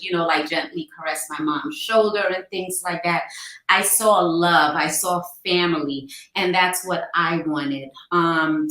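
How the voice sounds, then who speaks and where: Bright-voiced Guyanese-born woman, echoey room. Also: lowish voice. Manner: warm storytelling with fond anecdotes. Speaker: guest. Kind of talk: casual interview.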